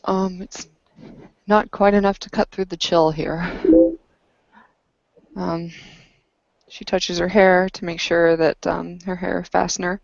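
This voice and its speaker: Slow, creaky, low-voiced woman. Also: level tone, dry recording, muffled recording. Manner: hesitant, halting. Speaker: tabletop game player. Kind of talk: casual in-game character narration.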